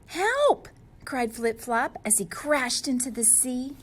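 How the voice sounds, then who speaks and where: A woman's voice: a little high, fairly even intonation, unhurried, breathy edge. A woman reading a storybook aloud to young children, expressively and speaking to them directly.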